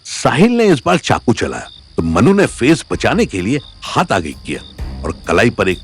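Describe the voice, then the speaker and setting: Animated male story narrator over music. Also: mid-range voice, moderate pace. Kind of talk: dramatic scripted story narration.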